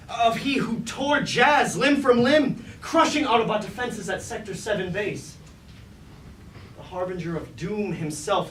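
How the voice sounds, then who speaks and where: A man's voice: high-pitched, fairly bright tone. An actor playing a suspicious, anguished robot lieutenant on stage, in theatrical, archaic tragic speech full of resentment for his master. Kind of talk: staged reading of mock Greek tragedy. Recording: roomy, distant mic, faint background noise.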